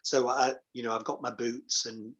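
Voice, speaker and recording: A man's voice: medium pitch, level tone, moderate pace, a bit bright. Chatty English man, dull lo-fi sound. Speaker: pantomime dame performer, interview guest. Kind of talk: casual chat.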